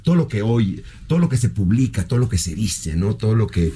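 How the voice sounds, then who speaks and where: A man's voice: on the low side, sing-song, brisk, mellow, slightly rough. A man, a fashion designer chatting as an interview guest, affectionate and calling the host by endearments. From Mexico (Mexicali, border). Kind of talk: TV interview chat.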